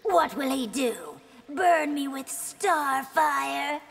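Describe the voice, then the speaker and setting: A woman's voice: high-pitched, slow, creaky, a bit bright, exaggerated voice. A woman playing a haughty, threatening young empress in a fighting game, imperious and taunting. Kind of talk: dramatic fighting game dialogue.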